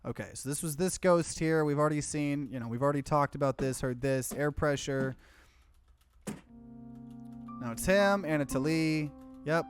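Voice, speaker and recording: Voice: medium pitch, moderate pace, pauses often. A let's play host giving casual, rambling, conversational commentary on a game. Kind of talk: casual gaming livestream commentary. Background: game music.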